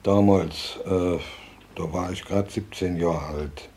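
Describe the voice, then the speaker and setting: Elderly male war veteran, hesitant recollection, very slow, soft-toned, radio drama. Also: low-pitched, fairly even intonation. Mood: reminiscing, halting. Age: older. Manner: hesitant, searching for words. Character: elderly male war veteran recalling his youth as deserter. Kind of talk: halting spoken recollection.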